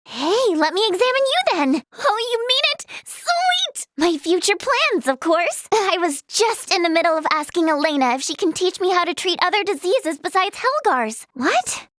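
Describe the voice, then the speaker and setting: A woman voicing a cheerful, excitable, inquisitive teenage girl in a video game, with a perky, teasing, youthful manner. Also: very high-pitched, moderate pace, few pauses, dry recording, studio quality. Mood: pouty, eager. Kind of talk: video game character dialogue.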